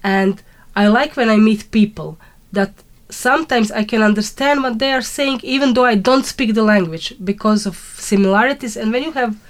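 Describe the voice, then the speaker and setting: A woman's voice: on the high side, normal speed, hardly any pauses. A woman from Croatia with a Croatian accent, talking conversationally as an interview guest in English, her second language.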